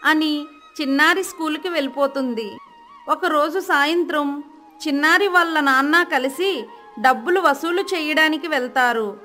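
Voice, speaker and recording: A woman's voice: high-pitched, normal speed, pushed and bright, soft background music. A woman narrating a scripted children's moral story as a storyteller.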